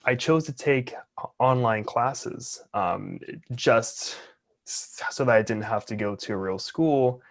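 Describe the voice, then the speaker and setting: A man's voice: medium pitch, takes it slowly, vocal fry. Young man who stutters heavily. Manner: stutters, with sound repetitions and blocks; open, earnest. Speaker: interview guest. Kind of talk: casual interview talk.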